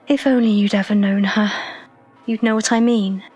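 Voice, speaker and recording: A woman's voice: mid-range voice, steady tone, normal speed, a little mellow, husky, dull audio, soft background music, natural voice. A woman playing a devoted, playful, possessive British lover in an audio drama, speaking tenderly. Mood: happy, devoted.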